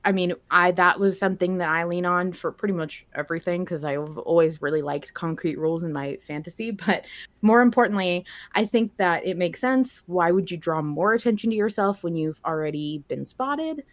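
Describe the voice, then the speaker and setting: Woman podcast host chatting casually, lowish voice, really dry sound, sweary. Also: normal speed, no room sound.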